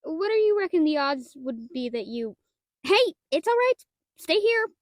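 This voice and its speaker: A very high, girlish voice, recorded close and dry. It is a woman acting as a shy young half-dragon librarian, bookish and easily flustered, who stumbles over words in an intimate roleplay aimed at the listener. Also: big pitch swings, normal speed, no room sound. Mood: nervous, pleading. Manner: hesitant, stammering, bookish wording, easily flustered.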